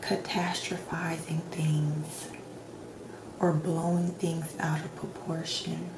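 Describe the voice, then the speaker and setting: A woman speaking softly, at times in a whisper, in a gentle, reassuring ASMR roleplay as a doctor questioning a patient. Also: sits low, flat delivery, slow pace. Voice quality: soft, partly whispered. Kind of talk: soft-spoken ASMR roleplay. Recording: roomy echo, clear background noise.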